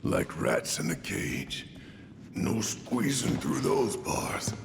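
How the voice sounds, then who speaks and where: An actor playing a gruff, angry, tough fighter with a gravelly, blunt growl in a video game. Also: male voice, medium pitch, very animated, slow, background noise, exaggerated voice. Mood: grumbling. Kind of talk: video game character acting. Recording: echoey hall, music behind.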